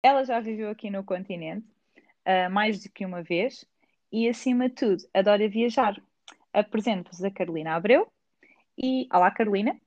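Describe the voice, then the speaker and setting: A woman's voice: medium pitch, normal speed, darkish tone, no echo, lo-fi sound. A woman with a Lisbon Portuguese accent hosting a podcast, chatting casually with a guest and laughing often. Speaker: podcast host. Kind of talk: casual conversational interview. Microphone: remote recording.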